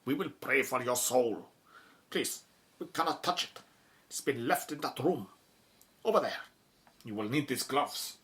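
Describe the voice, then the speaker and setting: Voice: medium pitch, lively intonation, unhurried, pauses often, a bit bright. An actor playing a grave, formal official in an audio drama, solemn, precise and foreboding. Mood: anxious, solemn.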